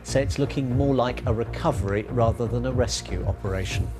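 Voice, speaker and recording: Man, British accent, formal newsreader, measured headlines, mid-pitched, clear music bed. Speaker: news presenter.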